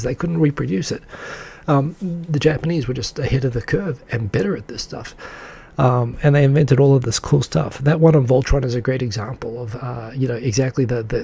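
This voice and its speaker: Gravelly-voiced man hosting a live chat. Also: medium pitch, mellow. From English-speaking country. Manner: rambling and enthusiastic, lots of fillers; reads out and answers viewer comments. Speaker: live show host. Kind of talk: casual live-stream chat.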